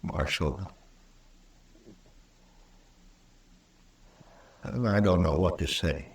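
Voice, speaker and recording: A man's voice: deep voice, a little slow, gravelly. An actor playing a stubborn, plain-spoken frontier townsman with an uneasy conscience in an old-time radio western, with an American accent. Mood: remorseful, hesitant. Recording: roomy, distant vintage radio recording.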